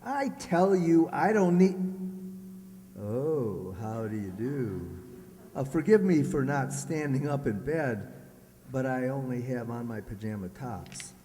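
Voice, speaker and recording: A man's voice: medium pitch, lively intonation, slow pace, warm tone. A man playing a jealous, fussy, self-pitying husband in a comic radio play, fretful and given to stammering. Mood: flustered, self-pitying. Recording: reverberant hall stage recording.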